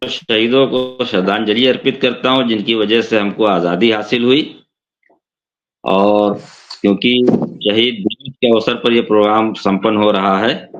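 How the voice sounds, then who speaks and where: A man speaking as a poet on a remote link, reciting couplets and repeating each line, with some halting restarts. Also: fairly low pitch, fairly even intonation, highs cut off.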